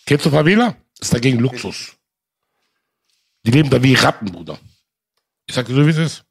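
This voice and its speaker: A man talks in a fast, impassioned rush, his pitch leaping up and down. His voice is raspy and gravelly throughout. He keeps calling the listener brother. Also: lively intonation. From Germany. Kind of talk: casual chat.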